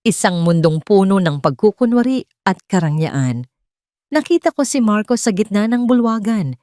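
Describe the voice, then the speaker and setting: A woman's voice: medium pitch, sing-song, moderate pace, warm tone, dry recording, clean studio sound. A woman reading a scripted first-person story as a dramatic narrator.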